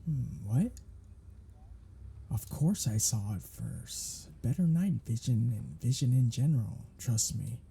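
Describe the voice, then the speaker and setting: A sardonic male vampire, played by a man in an ASMR audio drama, bantering and flirting at an unhurried pace. His voice is dark and gravelly, with a husky, breathy grain. The pitch swings freely as he teases. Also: expressive, heavily acted. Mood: teasing.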